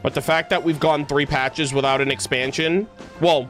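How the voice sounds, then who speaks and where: A man's voice: fairly high pitch, steady tone, moderate pace, fairly bright tone. A man streaming, chatting casually and rambling as he thinks aloud to his viewers. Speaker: livestreamer, host. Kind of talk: casual livestream chat. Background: music.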